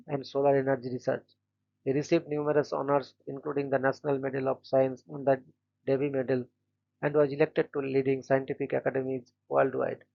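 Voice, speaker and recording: A man hosting a daily channel, reading short scripted biographies in a flat, steady way and stumbling now and then on names. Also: mid-range voice, creaky, muffled recording. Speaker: host of a daily events channel.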